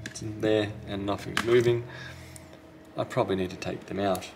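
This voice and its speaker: Low-voiced, gravelly man thinking aloud. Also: steady tone, normal speed, noisy background. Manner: thinking aloud, tentative, self-correcting. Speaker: repair technician, video host. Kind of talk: casual hands-on repair commentary. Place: indoors at a repair workbench.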